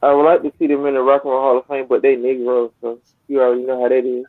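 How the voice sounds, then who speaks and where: A man's voice: mid-range voice, completely flat delivery, quick pace, no room sound. A man's voice comes through thin and phone-like, with no room sound around it at all. He is a co-host chatting casually, using informal, slangy speech. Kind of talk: casual chat. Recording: tinny narrow phone-like line, very dry.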